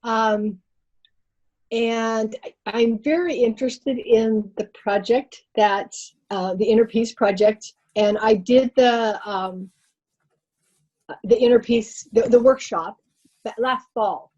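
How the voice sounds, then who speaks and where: A woman's voice: on the high side, fairly even intonation, slow pace, darkish tone. An older woman introducing herself on a video call, chatty and meandering. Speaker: participant. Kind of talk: casual self-introduction. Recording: dull muffled video call audio.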